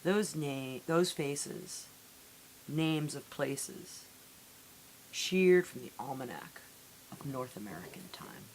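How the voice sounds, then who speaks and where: A woman reading poetry aloud in a solemn, deliberate way with measured phrasing and dramatic pauses, on an amateur recording. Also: very deep voice, expressive, darkish tone, poor audio. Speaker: poetry reader. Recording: amateur recording with audible hiss.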